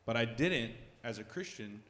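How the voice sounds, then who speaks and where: A man's voice: mid-range voice, expressive, moderate pace, fairly bright tone. Earnest man in a roomy hall. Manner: earnest, reflective. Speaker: basketball coach sharing from the group. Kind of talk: personal testimony. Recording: roomy hall with long reverb.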